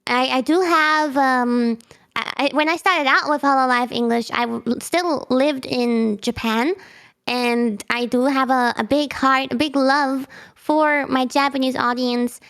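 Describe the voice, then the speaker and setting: A woman's voice: sits high, steady tone, slow, fairly bright tone, clean tone. A woman whose first language is German, a streamer guest chatting casually on a video call, bubbly and giggly, often restarting her sentences. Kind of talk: casual interview chat.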